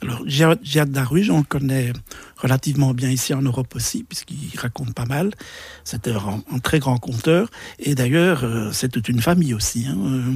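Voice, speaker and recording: Male interviewer, raspy with vocal fry, dry close sound, relaxed conversational questioning, slightly hesitant. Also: medium pitch, normal speed, no echo.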